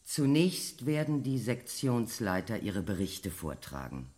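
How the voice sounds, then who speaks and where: A man's voice: on the low side, big pitch swings, measured pace, a little mellow. An actor playing an orderly, authoritative commission chairman in a German radio drama, formal and firm in manner.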